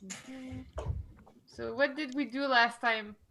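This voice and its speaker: A woman's voice: sits high, fairly even intonation, slow, sharp tone. A woman tabletop player bantering and joking with the group. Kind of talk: casual banter on a live stream.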